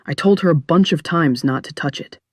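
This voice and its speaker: A man playing a higher-pitched teenage son. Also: fairly quick, dry recording, clean studio sound, close to an everyday voice. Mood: defensive. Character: earnest teenage boy, dutiful son. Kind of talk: scripted audio drama acting.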